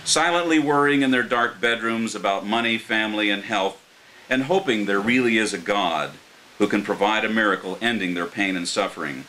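An older American man reading his poetry live at a microphone, in a measured, earnest way. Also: lowish voice, bright, forceful tone, low-quality recording. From Martins Ferry, Ohio, United States. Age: older, around 60. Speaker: poet reading his own work. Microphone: microphone on a stand. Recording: clear background hiss.

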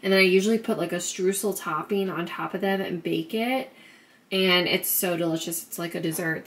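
A young woman talks through her grocery haul in a relaxed, chatty way, standing in her home kitchen. Her mid-pitched voice carries a fair bit of vocal fry, and a faint hum of noise sits behind it on the camera mic. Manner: chatty, upbeat, talks in a sing-song baby voice to her son. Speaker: vlogger. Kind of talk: casual grocery haul vlog.

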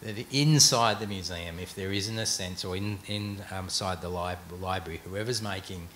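A man's voice: low voice, normal speed, fairly bright tone. A middle-aged man on a panel in a large auditorium, answering hesitantly with many restarts, speaking into a handheld mic. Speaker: panelist, museum executive. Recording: echoey hall, faint noise.

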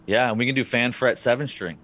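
A man in his thirties talking in a relaxed, laid-back way on a video call, with casual swearing. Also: mid-range voice, fairly quick, no room sound. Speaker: guitar company rep and podcast host.

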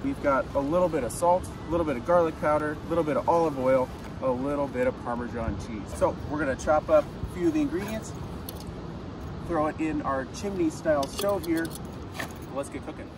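Chatty upbeat guy cooking on a beach, voice a bit high, mic kinda far off. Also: a little fast, background noise. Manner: enthusiastic, chatty. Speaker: outdoor cooking host. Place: outdoors on a sandy beach. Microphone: camera a few feet away. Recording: distant mic, loud outdoor ambience.

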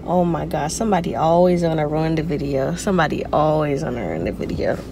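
A woman's voice: deep voice, fairly quick. A young woman chatting to viewers from inside her car, recorded on a phone camera on the dashboard. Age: young adult. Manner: chatty, playful, talks straight to viewers. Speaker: vlogger. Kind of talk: casual car vlog chat. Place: inside a parked car, outdoors traffic around. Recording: car interior with clear road noise.

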